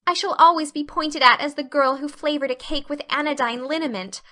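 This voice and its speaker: Woman voicing a high, melodramatic young girl. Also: a little fast, pushed and bright. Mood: tearful despair. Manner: effusive, melodramatic. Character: dramatic, anguished, chatty orphan girl. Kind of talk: audiobook dramatic reading.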